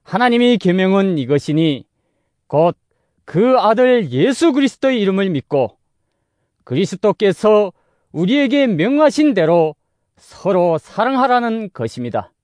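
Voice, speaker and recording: High-pitched, expressive male scripture narrator. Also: unhurried, no room sound. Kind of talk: scripted dramatic audio Bible narration. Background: music.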